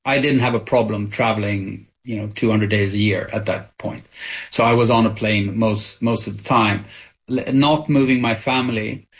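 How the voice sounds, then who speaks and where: A man's voice: fairly low pitch, steady tone, normal speed, husky. A middle-aged man whose first language is Swedish, a company founder answering radio interview questions candidly and searching for words at times.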